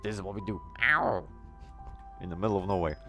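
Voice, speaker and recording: A man's voice: low-pitched, a little fast, sharp tone, faint music behind. A man whose first language is German, live-streaming a game with casual commentary and chatting to viewers in wordy, oddly formal phrases.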